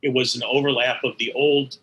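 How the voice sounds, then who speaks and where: An older American man recalling his work as an interview guest, in a halting way that circles back over words. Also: mid-range voice, flat delivery, pushed and bright, slight background noise, poor audio. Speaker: interview guest, animation studio veteran. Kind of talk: conversational interview recollection.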